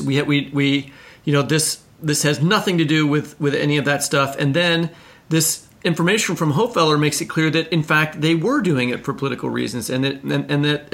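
Man, fairly high pitch, casual discussion, halting delivery, repeated words. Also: fairly bright tone.

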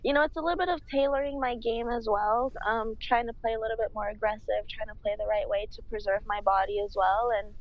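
A woman in her twenties, a pro tennis player, giving measured, unhurried interview answers with many fillers. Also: a little high, fairly even intonation, lo-fi sound. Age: 20s.

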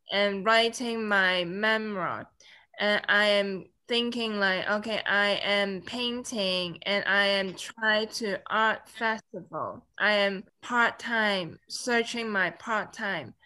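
A woman who grew up speaking Cantonese, talking in halting, broken phrases as she searches for words. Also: mid-range voice, pushed and bright, clear, resonant tone. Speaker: interview guest. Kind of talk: casual conversation.

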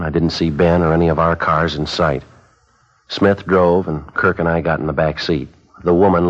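Undercover cop: American, very low voice, fast terse delivery, dull old radio sound. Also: male voice. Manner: clipped, understated. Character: cool undercover police detective posing as drug buyer. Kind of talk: 1950s radio drama acting and terse narration. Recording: dull 1950s radio recording.

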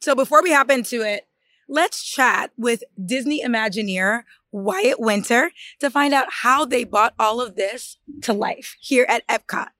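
A woman hosting a podcast, bubbly and excitable, her voice hoarse from screaming. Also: a little high, a little slow, no echo. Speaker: podcast host.

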